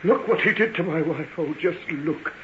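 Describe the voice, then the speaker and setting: An actor playing a grieving, vengeful manservant in an old-time radio drama, deferential yet bitter and anguished. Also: male voice, a little high, normal speed, close to an everyday voice. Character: grieving, vengeful manservant, respectful to his betters. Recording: dull, hissy old radio recording.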